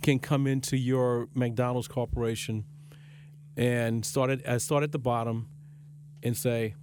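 A man's voice: medium pitch, level tone, unhurried, a little mellow, a little hiss or hum. A man hosting a radio interview, putting questions in a conversational way.

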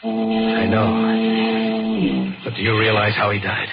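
A muffled old radio recording with music behind it carries an actor as an earnest male investigator. He has a low voice and tells an eerie mystery in a grave, deliberate, dramatic way. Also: monotone, lightly acted. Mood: urgent, grave. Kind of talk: old-time radio drama acting and narration.

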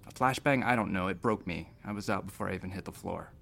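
A man's voice: deep voice, quick pace, gravelly, fairly bright tone, exaggerated voice. A man playing a wry, rambling space doctor who narrates an audio drama in a conversational, hesitant way with dry humor. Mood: dazed, wry. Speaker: narrator and lead character.

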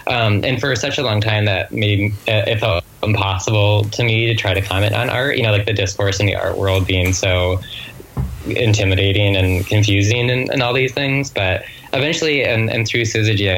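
Low-pitched, reflective author in interview. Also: a bit bright, poor audio. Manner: halting, thoughtful, self-correcting phrasing. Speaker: guest author.

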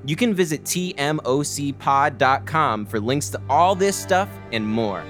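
A man's voice: a little high, animated, a little slow, a bit bright. Conversational male narrator over faint music. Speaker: audio drama performer and show presenter. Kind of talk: first-person journal narration and show announcement.

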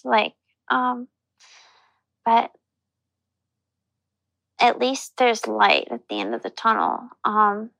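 Heavily creaky hesitant woman, thirty. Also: a little high, steady tone, sharp tone, no echo, low-quality recording. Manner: hesitant, halting. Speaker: interviewee.

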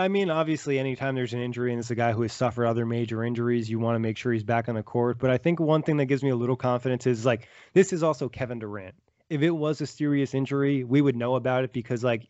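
Fast-talking man on a dry, dull line. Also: mid-range voice, a little mellow, dry recording. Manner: quick, agreeable, filler-heavy. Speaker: podcast co-host. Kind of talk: casual sports podcast chat. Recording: dry, dull lo-fi remote audio.

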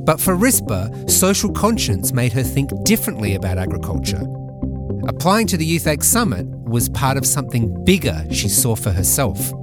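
A man hosts a podcast and reads scripted narration, his pitch rising and falling with plenty of movement. His delivery stays measured and even. Music plays clearly underneath him throughout. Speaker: podcast host. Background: background music.

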